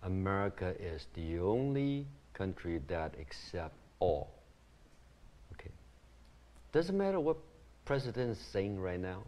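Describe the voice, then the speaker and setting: Animated middle-aged man from Taiwan. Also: deep voice, a little slow, long pauses, a little hiss or hum. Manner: animated, gestures with hands while explaining. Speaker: guest, community founder. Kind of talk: earnest interview answers. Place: ornate mansion living room.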